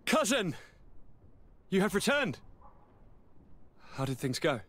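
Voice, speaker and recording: High-voiced, ailing noble cousin. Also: male voice, big pitch swings, slow pace, a bit bright, a little hiss or hum, full character voice. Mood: excited. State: unwell. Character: unwell, excitable noble male cousin, brave-faced. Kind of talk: video game cutscene acting.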